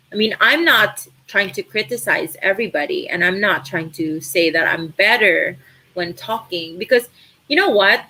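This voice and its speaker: A woman's voice: mid-range voice, husky, low-quality recording. Chatty Filipino woman hosting a live Q&A. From Philippines. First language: Tagalog. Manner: chatty, animated, uses many fillers. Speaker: host of an online course live stream. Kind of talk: casual live stream Q&A.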